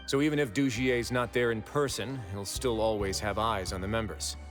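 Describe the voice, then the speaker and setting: A man playing a composed, shrewd duke of a prison fortress in an anime-style video game, speaking in a measured, deliberate way. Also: lowish voice, fairly even intonation, lightly acted. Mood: calm, analytical. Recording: music playing behind the voice.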